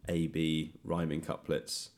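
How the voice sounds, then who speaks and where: A young man with a British accent hosting a casual interview, speaking in a tentative, halting way. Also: a bass voice, completely flat delivery. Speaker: podcast host. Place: in a home.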